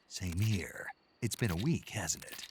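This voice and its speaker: An actor playing a gruff, wry special forces soldier in a video game, terse and teasing, with a gravelly voice. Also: male voice, low-pitched, unhurried, full character voice. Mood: businesslike. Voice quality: gravelly, husky.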